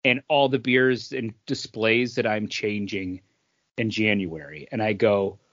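A man's voice: fairly low pitch, steady tone, unhurried, fairly bright tone, dry recording. A man co-hosting a podcast, explaining things casually and often repeating himself. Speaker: podcast co-host. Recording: dull, lo-fi sound.

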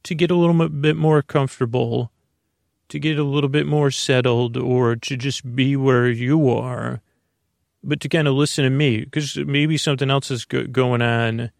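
A male podcast host with a very creaky voice, recorded close and dry. He rambles in a bedtime-intro style, halting, restarting and drifting into tangents. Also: medium pitch, fairly even intonation, dry recording. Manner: meandering, halting, stammering, tangential.

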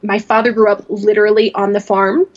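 A woman's voice: mid-range voice, level tone, a little fast, darkish tone, lo-fi sound. A woman from rural Louisiana, talking casually as a podcast guest, rambling and laughing often as she restarts her sentences. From rural Louisiana, USA. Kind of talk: casual interview talk.

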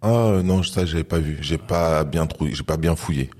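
Low, husky-voiced male podcast host. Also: moderate pace, a little mellow. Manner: conversational, prompting questions. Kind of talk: casual interview.

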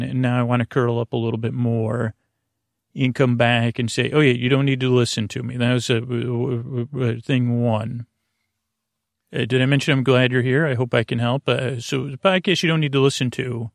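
A man with a creaky voice hosting a sleep podcast, meandering and halting through a soothing bedtime monologue full of tangents and self-corrections. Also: mid-range voice, no echo, clean studio sound. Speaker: podcast host. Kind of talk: rambling bedtime monologue to lull listeners to sleep.

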